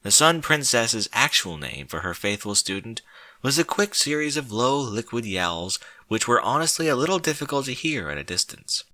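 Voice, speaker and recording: Bright, animated male storyteller. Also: mid-range voice, normal speed, no echo. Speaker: narrator of a short story. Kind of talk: expressive scripted story narration.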